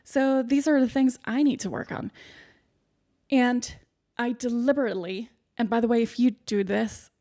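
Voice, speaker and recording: A woman's voice: a little high, steady tone, moderate pace. A woman hosting her own podcast as a speaking coach, with a soothing voice, talking listeners through numbered tips in an encouraging tone. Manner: warm, encouraging, lists points by number. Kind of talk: solo podcast advice monologue. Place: home studio in the UK.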